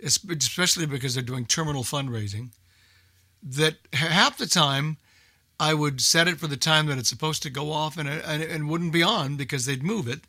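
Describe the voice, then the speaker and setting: Emphatic older American man, bright voice. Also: on the high side, moderate pace, no echo, studio quality. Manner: emphatic, repeats himself for emphasis. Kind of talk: casual group chat.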